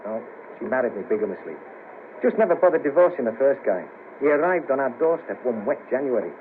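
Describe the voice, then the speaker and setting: A man's voice: mid-range voice, lively intonation, quick pace, narrow, tinny audio, natural voice. An actor playing a rueful, easily shocked ex band manager down on his luck in a 1970s radio play, talking in hesitant, clipped phrases on a noisy old cassette recording.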